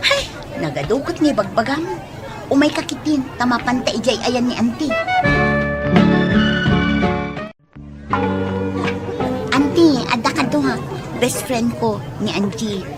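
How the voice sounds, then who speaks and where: A woman's voice: on the high side, lively intonation, normal speed, loud music behind the voice, a loud crowd, natural voice. A woman acting a sulky, haughty young woman in an Ilocano radio drama. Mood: chatty. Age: young adult.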